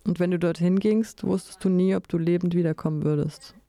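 A woman delivering a translated voice-over in measured, fluent and orderly sentences. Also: on the low side, steady tone, vocal fry, dark tone. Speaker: voice-over interpreter.

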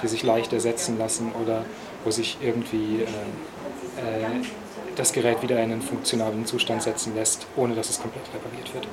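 A man's voice: fairly low pitch, monotone, moderate pace, a loud crowd. A man explaining how repairs work, thorough and full of hesitations. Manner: explains in detail with many hesitations. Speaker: repair expert. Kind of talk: interview answers.

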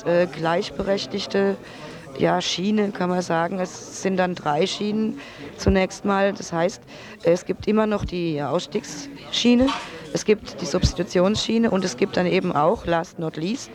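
A man giving a spontaneous explanation in a radio interview, halting as he searches for words. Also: high voice, crowd noise.

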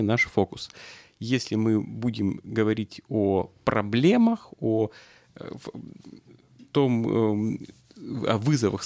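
A man giving spoken political commentary in an earnest, deliberate way. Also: mid-range voice, vocal fry, a little mellow, dry recording.

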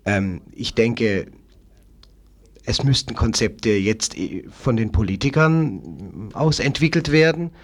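A man speaking as a political guest in an unscripted discussion, haltingly searching for words and repeating phrases. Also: lowish voice, expressive. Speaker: interview guest, Green local politician.

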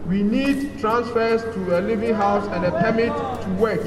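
A man from Ghana giving a protest speech at an outdoor demonstration, slow and halting with restarts. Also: high voice, steady tone, far from the mic, a crowd in the background. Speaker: protest speaker. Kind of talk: rally speech. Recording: echoey outdoor PA from afar.

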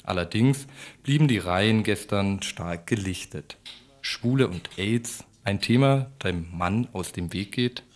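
A man's voice: lowish voice, measured pace. A man reading scripted radio news reports as a morning show presenter.

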